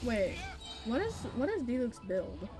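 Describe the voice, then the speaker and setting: Casting: female game streamer, higher pitch, casual and reactive, mild swearing, game music behind her. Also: sing-song, a little slow. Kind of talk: casual live gameplay commentary.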